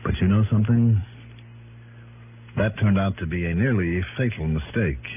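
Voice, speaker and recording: An actor playing a confident, shrewd American male investigator in a 1960s radio drama, persuasive and quietly suspicious. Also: low voice, moderate pace, slight background noise, close to an everyday voice. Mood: wry, suspicious. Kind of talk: 1960s radio drama acting and first-person narration. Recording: narrowband vintage radio recording with music.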